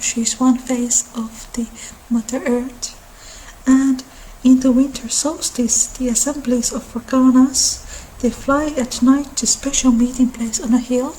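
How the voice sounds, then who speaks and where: A woman hosting an informal ASMR-style talk in an unhurried, halting delivery. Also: a little high, mellow, a little hiss or hum, poor audio. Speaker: video channel host.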